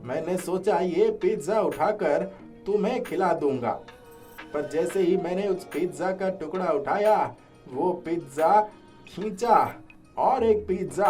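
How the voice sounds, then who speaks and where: A man's voice: on the high side, a little mellow. A man voicing a kindly, caring old village man in a cartoon story, telling things earnestly and without hurry. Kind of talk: Hindi cartoon story dialogue.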